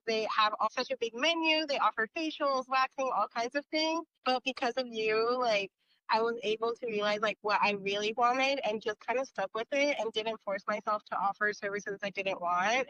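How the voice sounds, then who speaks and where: A woman's voice: a little high, a bit bright, clear, resonant tone, no echo, lo-fi sound. A woman giving casual, conversational interview answers as a guest, informal and a little tentative as she thinks aloud. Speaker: podcast guest, esthetician.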